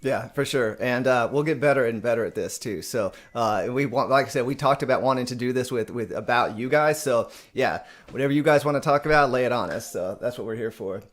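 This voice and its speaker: A man from Texas hosting a live stream and chatting casually. He uses frequent "um" fillers, and his voice sits at an ordinary middle pitch. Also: a little fast, hardly any pauses. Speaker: host.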